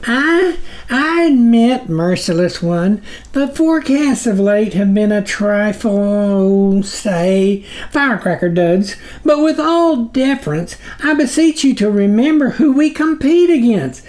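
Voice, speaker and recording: A man's voice: extremely high pitch, expressive, slow pace, darkish tone, voice pushed up, full character voice. A man voicing a grovelling demon underling in an audiobook story, a wordy, pedantic academic who speaks with obsequious formality. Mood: pleading.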